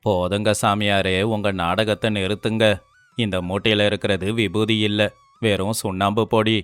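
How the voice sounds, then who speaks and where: A man's voice: on the low side, level tone, normal speed, fairly bright tone, smooth, no echo, faint music behind, natural voice. A man acting an indignant, accusing villager who exposes a fraud in a moral-story drama.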